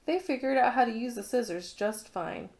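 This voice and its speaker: A woman narrating a children's picture book in an expressive read-along style. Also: fairly high pitch, normal speed, vocal fry. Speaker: storybook reader.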